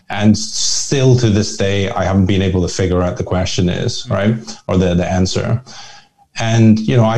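A man's voice: fairly low pitch, dark tone, poor audio. A man from Northern Ireland, a guest investor, telling his story in a reflective, conversational way and often repeating words while he thinks.

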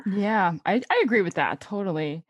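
A woman with a mid-pitched voice chats as an interviewer in a dry recording. She is informal and candid, phrasing her questions and opinions loosely and casually. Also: expressive, moderate pace, dry recording, dull audio.

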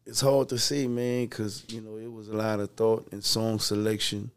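A man with a low speaking voice tells stories from his years running a record label. He talks in loose, easygoing street slang, full of asides. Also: little pitch movement, moderate pace, clear, resonant tone. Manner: laid-back street slang, storytelling. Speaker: record label co-founder, guest. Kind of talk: casual interview chat.

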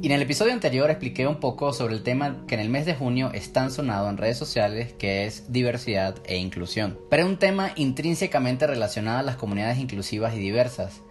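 A man from Venezuela with a Venezuelan accent, hosting his own podcast in a measured solo monologue, slowing down when he poses questions to listeners. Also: mid-range voice, lively intonation, faint music behind. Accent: Venezuelan Spanish. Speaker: podcast host.

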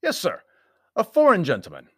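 Man putting on a high-pitched, obliging hotel clerk. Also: wildly swooping pitch, moderate pace, fairly bright tone, breathy edge, no echo, clean studio sound, heavily acted. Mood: courteous. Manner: courteous, formal. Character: deferential hotel desk clerk, formal and obliging.

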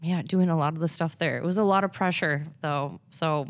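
A young woman with a Minnesotan accent talks casually as an interview guest, her voice sitting a bit low for a woman. She rambles and restarts, leaning on fillers like "um" and "you know." The sound is dry and close, with no room around it. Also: brisk, no echo. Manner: rambling, hesitant, with many fillers and self-restarts. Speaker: car detailing business owner, guest.

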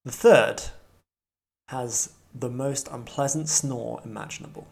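Gravelly man running a tabletop game. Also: medium pitch, unhurried. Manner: dry, wry quips. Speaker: game master and host. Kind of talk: narrating a tabletop role-playing podcast.

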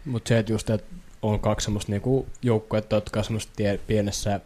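A man chats casually about football as a podcast panelist. His voice is dark and mellow, and his intonation stays very flat through the informal talk. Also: on the low side. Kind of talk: casual chat about sport.

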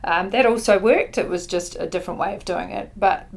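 A woman's voice: medium pitch, a little fast, vocal fry. A middle-aged woman with a New Zealand accent, originally from the Netherlands and a native Dutch speaker, giving conversational answers as an interview guest. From born in the Netherlands, grew up in New Zealand. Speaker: podcast guest, company chief executive.

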